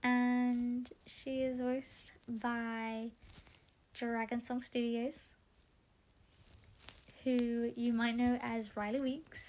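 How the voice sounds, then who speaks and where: A young woman introducing the cast of her audio drama in casual chat, rambling and a little croaky from being tired. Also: on the high side, flat delivery, extremely slow, pauses often, darkish tone, slight background noise, rough recording. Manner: chatty, rambling, self-interrupting.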